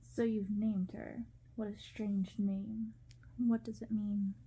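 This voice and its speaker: A woman's voice: medium pitch, level tone, slow pace, dark tone, slightly rough. A woman reading a storybook in ASMR style, voicing a cold, bullying young prince who is curt and condescending. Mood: scornful, impatient.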